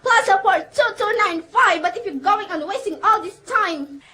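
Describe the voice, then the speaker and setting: A very shrill, frantic invalid wife. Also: female voice, animated, normal speed, sharp tone, full character voice. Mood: exasperated, indignant. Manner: agitated, demanding, indignant. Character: frantic, imperious, self-pitying invalid wife. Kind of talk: melodramatic radio play acting.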